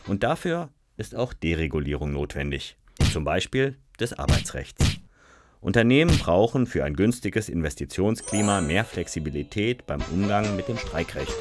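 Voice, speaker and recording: Male actor as overselling cartoon fairy: deep, swooping, emphatic and smug, loud music underneath. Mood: insistent. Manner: salesman-like, emphatic, theatrical. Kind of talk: scripted fairy-tale advocate in animated explainer. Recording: music behind the voice.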